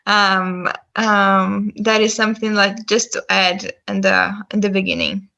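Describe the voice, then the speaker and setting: A woman's voice: medium pitch, flat delivery, slow pace, creaky, pushed and bright. A woman speaking English with a Brazilian Portuguese accent, giving a testimony talk, hesitant with frequent "um" and "uh". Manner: hesitant, many "um" and "uh". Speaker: guest speaker.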